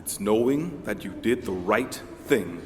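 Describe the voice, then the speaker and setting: Naive friendly guy, casual, animated pitch, audio drama, long reverb, clear ambient noise. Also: unhurried. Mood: earnest. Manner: casual, mild. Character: easygoing, naive young male newcomer, salesman, good-natured. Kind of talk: comedic audio drama acting.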